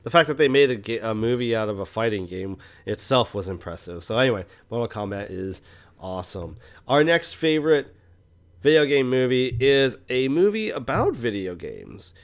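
A man's voice: mid-range voice, big pitch swings, moderate pace. A man co-hosting a casual film review podcast, speaking in an enthusiastic, opinionated way and often explaining things. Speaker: podcast co-host.

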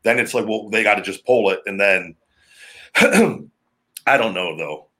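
A man with a deep, heavy, booming voice, hosting a podcast in a loose, rambling, talkative way. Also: a little slow, sharp tone. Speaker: podcast host, former pro wrestler. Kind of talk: casual podcast chat.

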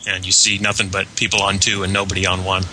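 A man from the United States chatting casually as a tech-minded podcast guest, explaining things in a hesitant, measured way. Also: deep voice, monotone, sharp tone, slight background noise. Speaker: guest and server admin. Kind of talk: casual technical chat.

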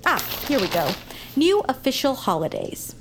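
A brisk, wry town official. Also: female voice, high voice, very animated, background noise. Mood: businesslike. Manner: matter-of-fact, wry. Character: VP, brisk, dry, sympathetic town council official. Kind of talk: family audio drama acting.

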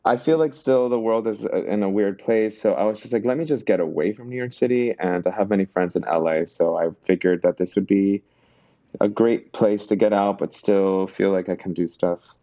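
A young adult man from Miami, a drag performer guesting on a podcast, chatting playfully with campy quick wit. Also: lowish voice, mellow. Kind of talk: casual chat.

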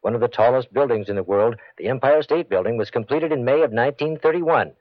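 A man's voice: medium pitch, fast talker, no echo. A man reading a scripted radio public-service spot as an announcer. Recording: dry, muffled old radio spot.

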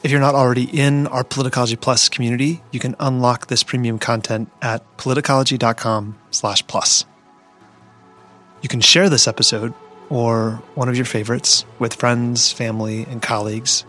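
Podcast host guy reading his outro, steady delivery, bright sharp voice, quiet music behind. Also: medium pitch, moderate pace. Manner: steady, scripted read.

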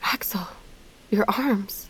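A woman's voice: medium pitch, takes it slowly, a bit bright, breathy edge, exaggerated voice, low-quality recording. An actress playing a worried, restless captive woman in an audio drama, urgent and questioning. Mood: shocked. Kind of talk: scripted audio drama acting.

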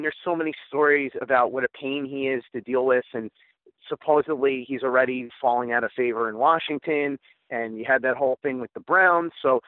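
A man with an American accent discussing sports as a podcast co-host, weighing both sides in a measured way. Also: mid-range voice, no echo. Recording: thin phone-like line, dry.

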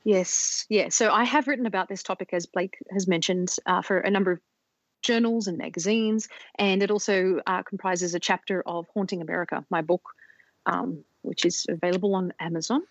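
A woman's voice: fairly low pitch, fairly even intonation, brisk, no echo, muffled recording. A woman podcast host and author telling a history story in casual chat, with frequent restarts. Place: home studio in Colorado.

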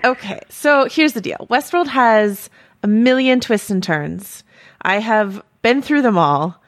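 A woman's voice: on the high side, animated, takes it slowly. A woman podcast host asking a guest long, eager questions, with fillers along the way. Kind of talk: interview questions.

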